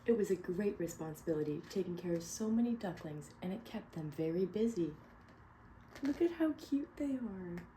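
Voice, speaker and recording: A woman reading a picture book aloud to children, pausing to ask them questions. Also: fairly low pitch, expressive, slight background noise, low-quality recording. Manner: gentle, asks children questions. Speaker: read-aloud storyteller.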